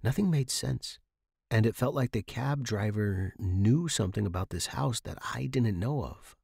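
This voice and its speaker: A man's voice: medium pitch, moderate pace, gravelly, darkish tone, slightly rough, dry recording, clean studio sound. A man reading scripted first-person fiction as the narrator.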